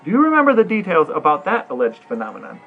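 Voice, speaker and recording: A man with an American accent and a fairly high voice talks casually as a podcast co-host, putting questions in a conversational way. The audio is slightly dull and lo-fi, with some music behind him. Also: huge pitch swings, fast, husky. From Ohio, United States.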